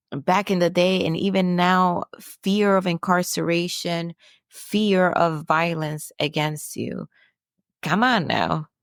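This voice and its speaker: Slow, gravelly-voiced woman podcaster. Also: on the low side, no room sound, studio quality. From Dominican Republic. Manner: earnest, preachy, asks rhetorical questions. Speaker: podcast host. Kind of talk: personal reflective monologue.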